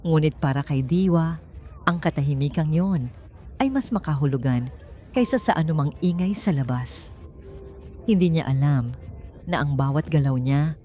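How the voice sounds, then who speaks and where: A woman's voice: low-pitched, mellow. A woman narrating a scripted audiobook story in a dramatic storytelling style, with music behind her.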